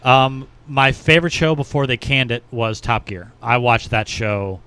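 Clear-voiced man in halting casual chat. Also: mid-range voice, fairly even intonation, a bit bright, dry recording. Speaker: podcast co-host. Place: small podcast studio. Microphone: close studio mic.

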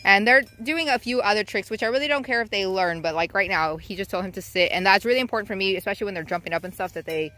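A woman's voice: medium pitch, fast talker, hardly any pauses, pushed and bright. A woman vlogging on her homestead, chatting casually and laughing as she talks to her animals. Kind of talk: casual vlog chat. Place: outdoors in a farmyard.

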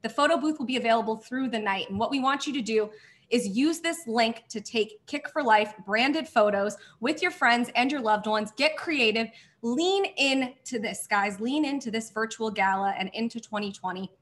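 A woman's voice: on the high side, moderate pace, a bit bright. A woman hosting a virtual charity gala, thanking sponsors effusively while losing her voice. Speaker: gala host. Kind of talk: scripted event hosting.

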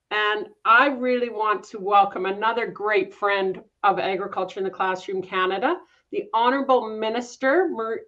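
A cheerful woman in her fifties welcomes classes to an online event, her voice sitting a little high. She talks into a webcam from her living room, and the call audio sounds muffled and lo-fi. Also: fairly bright tone. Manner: upbeat and welcoming. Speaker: host. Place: home living room with large windows. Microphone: video call webcam.

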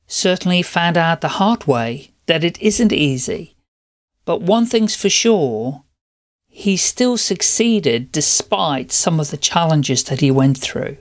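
A man's voice: fairly high pitch, dry recording, clean studio sound. Upbeat male podcast host. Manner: upbeat, enthusiastic, friendly questions. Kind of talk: podcast interview.

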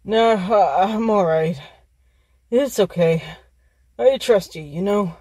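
A woman voicing Sans, a teasing, easygoing skeleton brother in an amateur audio drama, with a casual, halting, giggly delivery. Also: mid-range voice, a little mellow, slightly rough, no room sound, studio quality. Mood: weary, fond.

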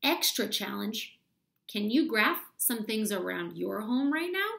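High, creaky-voiced woman teaching kids. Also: big pitch swings, a little slow, fairly bright tone. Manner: explains step by step with questions to young listeners. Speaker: elementary school teacher reading aloud. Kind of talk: instructional read-aloud for children. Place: small room at home, indoors.

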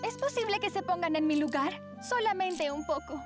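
A woman playing a gentle, apologetic teenage girl in a cartoon, pleading as she speaks. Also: very high voice, lively intonation, moderate pace, loud music behind the voice. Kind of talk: animated cartoon dubbing.

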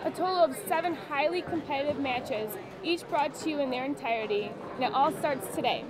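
A woman's voice: sits high, fairly quick, a crowd in the background, noisy background. A young woman co-hosting a bowling show in a bowling alley, speaking eagerly on a lapel mic. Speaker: co-host. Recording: loud music underneath.

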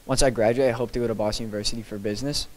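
A man's voice: mid-range voice, fairly even intonation, rapid-fire. A teenage boy, a student, introducing himself eagerly outdoors with a handheld mic. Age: teens. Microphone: handheld microphone.

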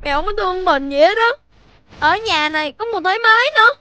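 A woman voicing a lively, stubborn young child in a children's cartoon, with a southern Vietnamese accent. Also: extremely high pitch, brisk, slight background noise, exaggerated voice. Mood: stubborn, sulky. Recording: music bed behind the voice.

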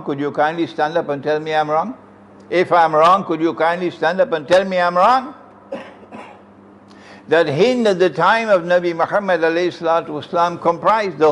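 An older man from Trinidad with a Trinidadian accent, giving an emphatic religious lecture on a stand mic, with rhetorical questions to his audience. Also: a little high. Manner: emphatic, rhetorical questions, sweeping hand gestures. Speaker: Islamic lecturer. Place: room with green chairs, indoors. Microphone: stand mic close in front.

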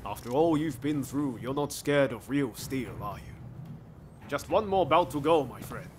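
A man voices an easygoing young-hearted samurai friend in a video game. He speaks at a middling pitch, casual and eager, like a loyal companion. Faint music sits behind the voice. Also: expressive, moderate pace, a little mellow, natural voice. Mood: friendly, encouraging. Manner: casual, chummy, upbeat. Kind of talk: scripted video game cutscene acting.

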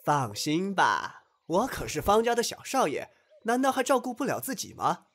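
A man's voice: high-pitched, huge pitch swings, moderate pace, a bit bright, exaggerated voice. A man acting a naive, clingy, boyish young master in an amateur Chinese audio drama. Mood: cheerful, confident.

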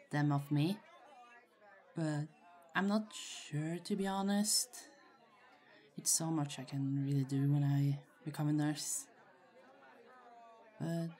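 A woman acts out a shy young female student in an ASMR roleplay. Her voice sits low and she takes her time, halting and hesitating in a friendly, giggly manner. A crowd murmurs behind her. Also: mellow. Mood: shy, thoughtful. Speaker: voice actor in roleplay audio. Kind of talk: ASMR roleplay, acted casual conversation. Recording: faint crowd chatter behind. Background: party crowd murmur.

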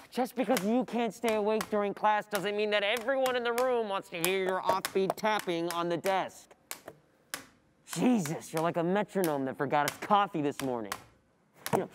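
A performer playing an agitated, distracted student who thinks aloud in an exasperated, sarcastic theatrical monologue. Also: medium pitch. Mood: irritated. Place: indoor theatre stage. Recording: noisy stage recording from a distant camera.

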